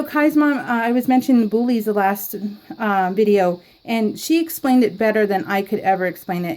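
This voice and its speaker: A woman chatting casually to her viewers while she scrapbooks, giggling often and filling pauses with ums and restarts. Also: medium pitch, a little mellow, poor audio. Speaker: scrapbooking YouTuber. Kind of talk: casual chat while crafting.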